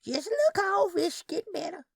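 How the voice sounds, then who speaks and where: Casting: a woman as fussy little old Southern lady for audio fiction, folksy chatterbox, high and very lively in pitch. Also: moderate pace, no echo, clean studio sound, full character voice. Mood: dismissive. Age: elderly. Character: chatty, fretful tiny elderly Southern twin sister. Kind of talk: audio fiction character dialogue.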